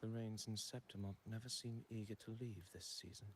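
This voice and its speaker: An actor playing a mysterious traveling poet in a video game, grave and courtly, with theatrical, measured, ornate phrasing. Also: male voice, lowish voice, fairly even intonation, slight background noise, natural voice. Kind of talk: dramatic video game dialogue. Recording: loud game music behind the voice. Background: game music.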